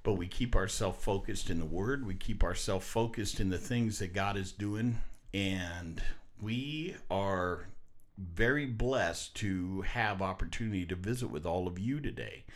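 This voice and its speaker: A man's voice: low-pitched, unhurried. A man hosting a ministry interview, talking in a folksy, preacherly way. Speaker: ministry show host. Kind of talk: faith interview.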